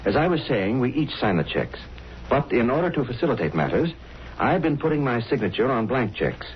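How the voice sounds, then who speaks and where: An earnest, formal gentleman, played by a man, speaks with animated rises and falls and careful, precise wording. He sounds worried, in classic radio drama style. The old recording is dull with faint hiss. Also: mid-range voice, fairly quick, close to an everyday voice. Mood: earnest, uneasy. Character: earnest, precise, worried volunteer charity treasurer. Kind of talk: 1930s-40s radio drama acting. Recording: muffled, hissy vintage radio recording.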